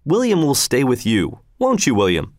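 A man's voice: medium pitch, wildly swooping pitch, normal speed, no room sound, clean studio sound. A man reading a story voices a bold, easygoing hunter and father who speaks casually and self-assuredly.

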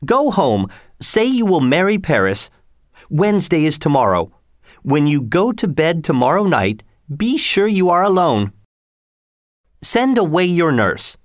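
A man reading aloud, voicing an old friar who calmly lays out a plan. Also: fairly high pitch, dry recording, clean studio sound. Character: elderly male friar, calm and scheming.

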